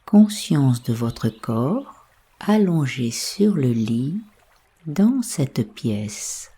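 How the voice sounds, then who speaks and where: A woman guides a bedtime relaxation with a low, mellow voice. She speaks very slowly and softly, with breath in the tone. Her delivery is hushed and soothing, leaving space between instructions. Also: very animated, slightly rough. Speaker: guided meditation teacher. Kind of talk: slow guided yoga nidra sleep meditation.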